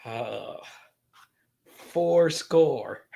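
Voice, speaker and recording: A man's voice: medium pitch, expressive, drawn-out words, creaky, mellow, husky, dry recording. A man in his thirties hosting a sports podcast livestream, chatting casually as he reads viewer comments, laughing often and tripping over restarts. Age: 30s.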